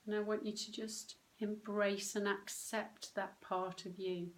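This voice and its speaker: A woman leading a guided meditation, speaking gently and unhurriedly in short phrases with long pauses. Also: mid-range voice, flat delivery, a little hiss or hum. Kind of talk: guided meditation, soothing instruction.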